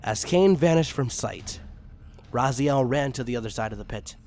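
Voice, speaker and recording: A man's voice: mid-range voice, animated, moderate pace, a little mellow. A man reading dramatic fiction aloud as a scripted narrator. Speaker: narrator and story reader. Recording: background music under the reading. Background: music.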